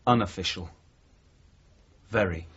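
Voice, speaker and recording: An actor playing a measured, questioning male police detective sergeant in a 1980s radio drama. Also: sits low, a bit bright, breathy edge, exaggerated voice. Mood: quietly probing. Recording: dull, muffled radio broadcast recording.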